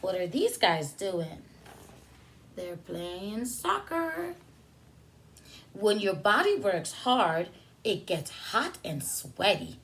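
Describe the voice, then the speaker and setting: A woman preschool teacher reading a picture book aloud to young children, pausing for simple explanations and questions addressed to them. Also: medium pitch, sing-song, a bit bright.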